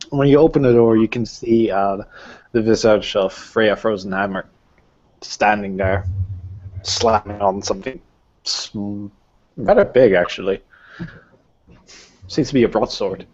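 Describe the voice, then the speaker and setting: A man improvising narration as a game master, in a halting, deliberate way. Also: fairly low pitch, a little mellow. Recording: dull, lo-fi audio.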